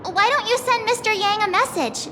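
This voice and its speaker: A woman voicing a cheerful, excitable young girl adventurer in a video game, bubbly and self-deprecating, with game music behind. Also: very high-pitched, normal speed, a little hiss or hum. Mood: encouraging.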